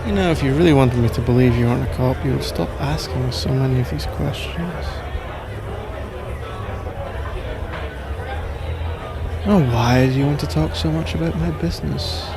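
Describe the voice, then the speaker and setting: A man with a British accent playing a suave, suspicious and powerful mafia crime lord in an intimate ASMR roleplay, measured, self-assured and teasingly condescending. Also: mid-range voice, creaky, natural voice. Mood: suspicious, warning. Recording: noisy bar-like crowd ambience behind.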